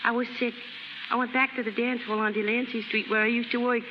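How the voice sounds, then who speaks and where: A woman's voice: on the high side, level tone, fast, close to an everyday voice. An actress playing a scrappy young penniless New dance-hall girl with uneducated street speech, in a 1930s radio drama. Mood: plaintive, frank. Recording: noisy muffled 1930s radio recording.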